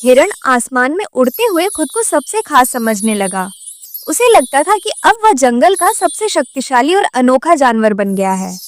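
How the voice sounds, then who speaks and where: A woman's voice: high voice, big pitch swings, normal speed, a little hiss or hum. A woman narrating a scripted children's moral story as a storyteller.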